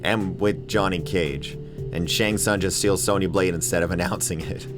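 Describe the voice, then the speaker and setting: Male video review host, low register, wry and mock-exasperated film commentary over a clear music bed. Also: steady tone, moderate pace. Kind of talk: comedic movie commentary, semi-scripted.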